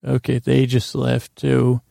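A man hosting a podcast, rambling in a halting, self-deprecating, whimsical monologue that keeps wandering off topic, with a gravelly, creaky voice. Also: medium pitch, monotone, warm tone, dry recording, clean studio sound. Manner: meandering, halting, goes off topic mid-sentence. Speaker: podcast host.

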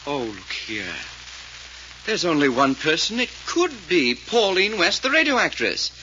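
An actor playing an earnest, excitable detective's sidekick in a 1940s radio mystery, proper and easily flustered. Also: male voice, a little high, unhurried, exaggerated voice. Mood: puzzled. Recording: muffled old radio recording with noise.

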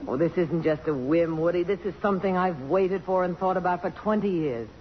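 Casting: actress as poised, sharp-witted middle-aged female politician, earnest and crisp, 1950s radio drama, dull noisy recording. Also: lowish voice, brisk, lightly acted. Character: self-possessed, sharp-witted congresswoman in love, middle-aged.

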